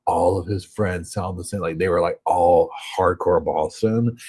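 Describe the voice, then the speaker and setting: Husky low-voiced Southern man chatting. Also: steady tone, a little slow, dark tone. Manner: rambling, chatty, heavy on filler words. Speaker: true crime channel host. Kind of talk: casual live chat reading viewer comments. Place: hotel room.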